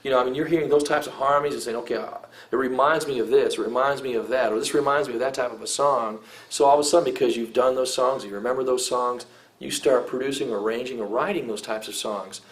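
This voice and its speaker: A man's voice: medium pitch, fairly even intonation, fast talker. A middle-aged man who sings rock, talking in a casual interview, rambling with many fillers and restarts. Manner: rambling, heavy use of "you know" and "I mean", frequent restarts. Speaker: rock vocalist giving advice.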